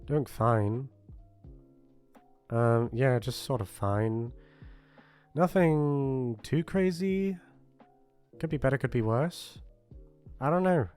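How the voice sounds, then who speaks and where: A male channel host rambles through a casual outro. He is awkward and self-deprecating, halting often and drifting along at an unhurried pace over faint music. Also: mid-range voice, darkish tone, clean tone. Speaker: voice actor hosting his channel.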